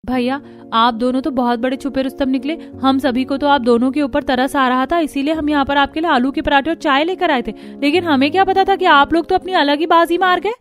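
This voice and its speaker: A woman's voice: high-pitched, steady tone, fairly quick, faint music behind, close to an everyday voice. A woman voicing a caring but indignant young wife in a cartoon, earnest and reproachful.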